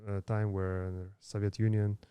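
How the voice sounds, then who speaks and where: Guy in his 30s from kazakhstan, kinda low smooth voice, stops and restarts a lot, dry close mic. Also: flat delivery, warm tone, no room sound, lo-fi sound. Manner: halting, many fillers and restarts. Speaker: podcast guest. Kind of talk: casual interview storytelling.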